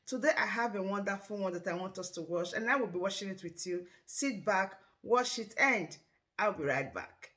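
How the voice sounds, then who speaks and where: A woman's voice: mid-range voice, animated, moderate pace, a bit bright. Woman greeting her channel viewers. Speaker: channel host. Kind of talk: video intro greeting.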